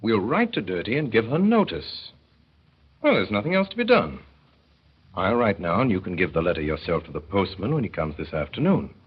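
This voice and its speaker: A man narrating a 1930s radio drama in a measured, wry way, with deliberate pauses. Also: lowish voice, big pitch swings, breathy edge. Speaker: narrator and lead performer. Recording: dull 1930s radio broadcast.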